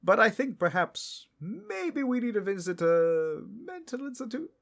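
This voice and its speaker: A man's voice: sits high, very animated, measured pace, vocal fry, fairly bright tone, heavily acted. A man playing a self-assured, well-meaning but blundering superhero in a comedic audio drama, with heroic bluster that slides into casual, earnest rambling. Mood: earnest scolding.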